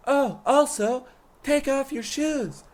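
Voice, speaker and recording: A pompous medieval monarch in a radio play parody. He declaims slowly and theatrically, very pleased with himself and careless of those beneath him. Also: extremely high pitch. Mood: haughty, scolding. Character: pompous, self-admiring, callous medieval king. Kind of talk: parody of old radio drama.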